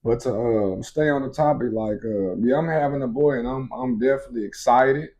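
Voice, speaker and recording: A man's voice: mid-range voice, fairly quick, a little mellow, highs cut off. A man in his twenties chatting casually in a couple's Q&A video, loose and easygoing, full of fillers. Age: 20s. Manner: laid-back, frequent fillers like "you feel me". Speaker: vlogger, expecting father.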